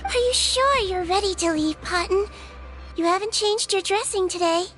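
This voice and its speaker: A woman voicing a small, kindly nonhuman head nurse who speaks calmly and clinically in a video game. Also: extremely high pitch, moderate pace. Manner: gentle and composed, clinical.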